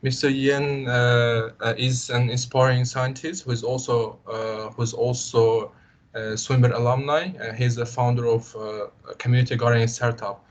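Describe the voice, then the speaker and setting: A man hosting an online event, speaking in a polite, halting, formal way. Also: mid-range voice, flat delivery. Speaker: event host introducing the speaker. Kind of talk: formal introduction. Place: online video call. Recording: dull lo-fi online call audio.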